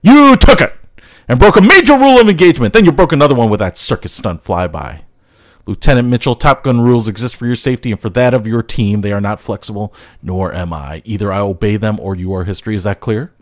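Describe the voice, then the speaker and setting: A man's voice: fairly low pitch, quick pace, no room sound, studio quality, lightly acted. Man playing a stern navy commander. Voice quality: clipped, stern. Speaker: commanding officer. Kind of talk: 1980s film acting.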